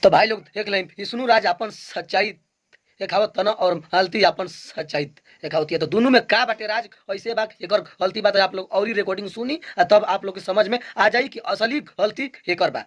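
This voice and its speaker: A male video host with a Bhojpuri accent and a clearly high-pitched voice. He addresses viewers casually, like a vlogger introducing and commenting on clips. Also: normal speed.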